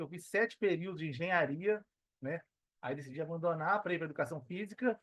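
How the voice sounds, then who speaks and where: A man's voice: on the high side, no echo. A man in his thirties with a Minas Gerais Brazilian accent, talking casually as an interview guest. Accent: Brazilian Portuguese, Minas Gerais. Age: 30s. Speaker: podcast guest, personal trainer and affiliate marketer. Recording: dull, lo-fi, dry audio.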